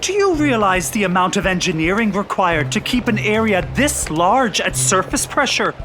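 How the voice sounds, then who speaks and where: Animated, awestruck engineering nerd. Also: female voice, mid-range voice, moderate pace, soft background music. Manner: excited, gushing. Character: awestruck, excitable engineering nerd marveling at underwater city. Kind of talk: full-cast sci-fi audio drama acting.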